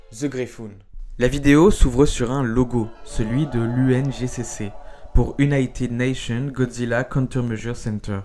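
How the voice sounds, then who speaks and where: A man narrating a scripted video essay in an explanatory way, slipping into conversational, informal asides. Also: mid-range voice. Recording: voice-over over background music. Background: music.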